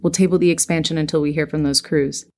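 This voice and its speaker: A woman's voice: low voice, steady tone, quick pace, a little mellow, natural voice. An actress playing a composed, fair-minded female leader of a survivor settlement, orderly and decisive, in an audio drama.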